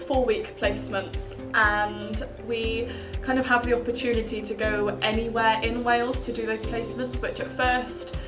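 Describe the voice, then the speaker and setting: An upbeat young woman with a somewhat high voice talks about her studies. She fills her speech with soft hedges. The recording sounds roomy and distant, with music behind her. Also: flat delivery, normal speed, hardly any pauses. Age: young adult. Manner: upbeat, many "kind of" fillers. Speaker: physiotherapy student. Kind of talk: casual talk to camera.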